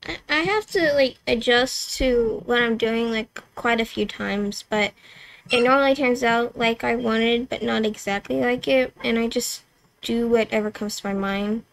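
A young woman, a co-host and acrylic painter, answers hesitantly and quietly, nervous on camera, in a small dark home studio. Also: a little high, measured pace, gravelly, low-quality recording.

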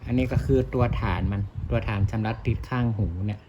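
A man presents gear in a casual vlog style, with a mid-pitched voice and some ambient noise behind him. He rambles along unscripted, speaking directly to viewers and playing down his own expertise. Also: steady tone, fast talker, lo-fi sound. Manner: rambling, self-deprecating, often addresses viewers. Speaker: vlogger demonstrating motorcycle gear. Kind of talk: casual unscripted product demonstration. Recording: clear ambient background noise.

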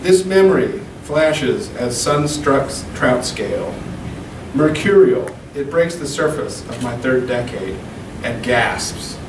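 A man in his thirties reading poems at an open mic into a handheld mic, slow and deliberate, with casual asides between poems. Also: mid-range voice, lively intonation. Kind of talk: poetry reading. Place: small room at a podium. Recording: echoey room, camera from far off, hum.

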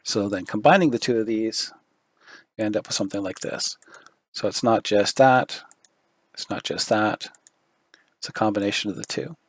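A man giving a screen-recorded software tutorial, thinking aloud with fillers, his voice going hoarse. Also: lowish voice, normal speed, long pauses, no echo. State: losing his voice, throat clearing.

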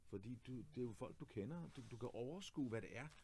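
A man's voice: mid-range voice, animated, darkish tone, slight background noise. A man in his forties talking politics in a casual conversation, earnest and explanatory, often restarting his sentences and adding tag questions. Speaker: candidate and host. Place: clothing shop, indoors.

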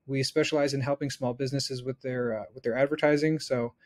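A man's voice: medium pitch, fairly even intonation, brisk. Man giving a casual step-by-step tutorial. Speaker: instructor. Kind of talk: casual screen-share software tutorial.

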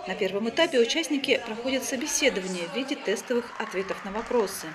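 A woman's voice: a little high, fast talker, a crowd in the background. A woman reading scripted news narration as a television reporter.

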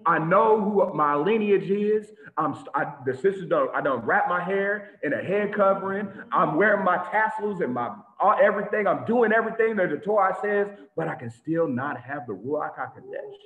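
A man preaching an animated sermon to a congregation over a video call, with lots of repetition and sudden shouts. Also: high voice, moderate pace, few pauses. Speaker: teacher of a congregation. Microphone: video call webcam. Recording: tinny phone-like echoey audio.

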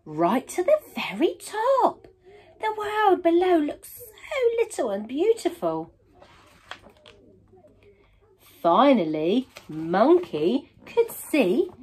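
Female storybook reader: expressive, highly animated, slightly high voice, emphatic on key words. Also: slow pace, pauses often, husky. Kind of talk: children's picture book read-aloud.

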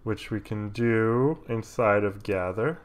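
A man's voice: fairly low pitch, slow. A young man narrating live coding casually, muttering absent-mindedly to himself as he types. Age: young adult. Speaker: programmer livestreamer. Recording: noisy room with keyboard clicks. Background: typing, clicks, faint noise.

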